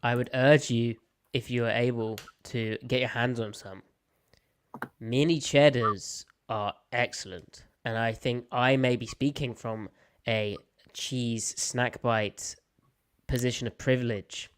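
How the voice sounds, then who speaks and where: Young British male podcast host, smooth-voiced, a bit snuffly, playful and opinionated. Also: medium pitch, fairly even intonation, slow pace. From United Kingdom. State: slight head cold, snuffly. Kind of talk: casual live podcast chat.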